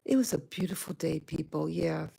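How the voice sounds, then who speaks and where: A woman's voice: low-pitched, steady tone, moderate pace, creaky, warm tone, husky. A woman from New York City chatting casually to her viewers, rambling with many fillers and restarts. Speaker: vlogger. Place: home in Rwanda.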